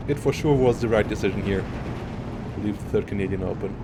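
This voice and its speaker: A man's voice: lowish voice, fast talker, background noise. A man casting a live strategy game match, analytical and chatty, laughing often. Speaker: esports caster.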